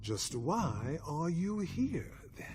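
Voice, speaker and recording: An actor playing a suave, formal vampire clan leader in a video game, with courtly, measured politeness and quiet menace. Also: male voice, fairly high pitch, big pitch swings, over music. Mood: wary, measured. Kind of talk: dramatic video game acting.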